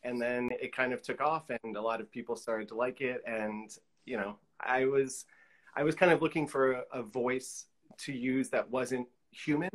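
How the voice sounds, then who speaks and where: A man's voice: mid-range voice, steady tone, moderate pace. A man, chatting casually as an interview guest on a video call. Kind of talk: casual chat about own work. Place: room at home, video call.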